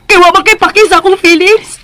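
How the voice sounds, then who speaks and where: An actress with a Visayan Filipino accent playing an indignant, defiant young rich daughter in a radio drama. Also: female voice, very high voice, fairly even intonation, a little fast, sharp tone. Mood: hurt, indignant. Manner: indignant, melodramatic. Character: defiant, wealthy young daughter resisting arranged marriage.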